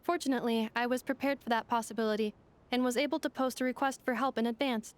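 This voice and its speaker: A woman voicing a calm, logical android helper woman who speaks in clipped, formal, machine-like reports in a video game. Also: fairly high pitch, fast, clear, resonant tone, close to an everyday voice. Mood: steady.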